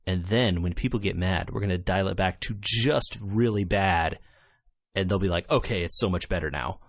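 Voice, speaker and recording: Guy with a lowish voice on a gaming podcast, emphatic n exasperated, dry close sound. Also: moderate pace, no room sound. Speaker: podcast co-host. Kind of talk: casual gaming podcast chat.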